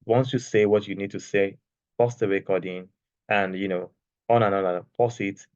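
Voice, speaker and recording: A man with a soft, lowish voice walks students through a screen-share tutorial, talking loosely and often checking in with "okay?" His voice comes through a dry, slightly dull external mic with no room sound. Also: steady tone, normal speed, no echo. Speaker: course creation instructor. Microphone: external microphone.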